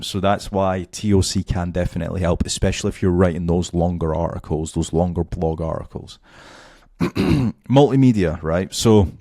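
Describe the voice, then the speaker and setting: Deep-voiced man, hoarse, loose tutorial talk. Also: warm tone, no room sound, clean studio sound. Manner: loose, filler-heavy, keeps checking in with "right?". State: losing his voice, a bit hoarse. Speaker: SEO tutor. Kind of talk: casual screen-share tutorial. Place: at home.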